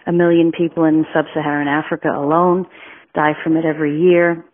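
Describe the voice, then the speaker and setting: A woman's voice: sits low, level tone, a little fast. A woman from New York leaves a passionate listener question for a podcast. Her voice comes through a tinny, phone-like line. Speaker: listener caller.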